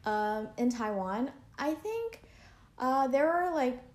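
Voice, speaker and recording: A woman's voice: high-pitched, sing-song, slow, a little mellow. A young woman from Canada chatting in an interview, talking loosely with lots of fillers and likes. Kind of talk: casual interview chat. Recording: echoey room.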